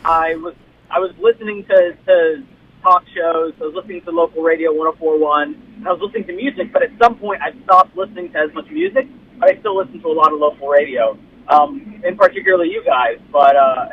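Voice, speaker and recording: A man's voice: on the high side, animated, brisk. A young man from the United States, a guest on a call-in chat, explaining things politely with a few hesitations. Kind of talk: casual chat. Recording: tinny phone line with faint noise.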